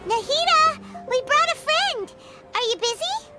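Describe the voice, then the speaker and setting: A woman voicing a tiny, excitable floating companion who talks about herself in the third person, in video game voice acting. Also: extremely high pitch, measured pace, loud music behind the voice. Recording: noisy game stream capture.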